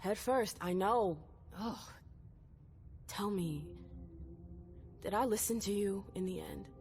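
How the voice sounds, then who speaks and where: A woman's voice: mid-range voice, takes it slowly. A woman playing a stubborn, wounded female vampire in a video game, speaking in a cool, skeptical, insistent way. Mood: hurt, insistent. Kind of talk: video game cutscene dialogue acting. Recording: game music underneath. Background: background music.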